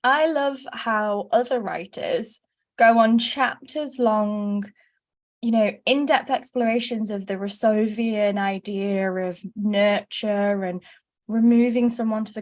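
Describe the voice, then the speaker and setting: A woman from Nottingham with a standard English university accent, cohosting a casual book chat over a video call and talking lively with laughing asides. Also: medium pitch, a little slow. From England, Nottingham.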